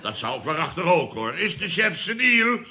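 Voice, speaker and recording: An actor playing a pompous, indignant older boss in a Dutch radio comedy, declaiming theatrically with a Netherlands accent. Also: male voice, a little high, very animated, normal speed, bright, forceful tone, heavily acted. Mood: blustering, offended.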